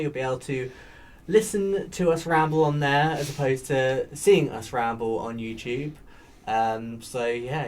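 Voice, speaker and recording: A man hosting a casual podcast chat, rambling with plenty of fillers in a soft, soothing voice. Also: medium pitch. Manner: rambling, chatty, with many fillers. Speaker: podcast host. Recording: faint background noise.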